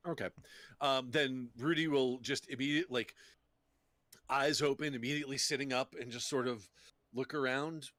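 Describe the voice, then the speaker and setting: A man playing a tabletop roleplaying game, casually describing his character as he thinks out loud. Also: mid-range voice, fairly even intonation, moderate pace, no echo.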